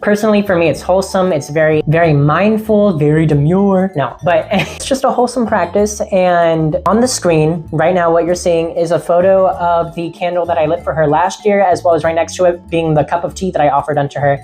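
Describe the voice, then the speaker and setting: A woman casually vlogging about seasonal witchcraft in a friendly, rambling way, her voice getting a little raspy. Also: lowish voice, fairly quick, hardly any pauses, a little mellow, faint music behind. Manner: rambling, friendly, laughs often. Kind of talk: casual chatty vlog.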